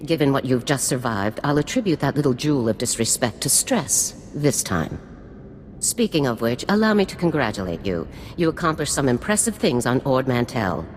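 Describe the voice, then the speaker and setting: A stern female military general from a video game, with a very low voice that moves expressively. She gives orders with formal, clipped authority in a space with long reverb. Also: big pitch swings, moderate pace. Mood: stern, measured. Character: stern, commanding female special forces general. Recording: long reverb with faint noise.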